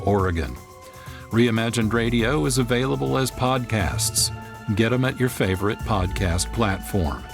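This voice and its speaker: A man hosting a radio programme with measured, scripted announcer-like narration. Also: on the low side. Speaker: producer and host.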